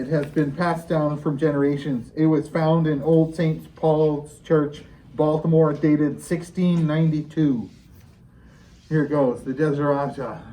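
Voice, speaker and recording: A man reads a prose poem aloud at a poetry reading. His voice is somewhat high in pitch, with a soft, warm and mellow tone. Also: poor audio. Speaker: poetry reader.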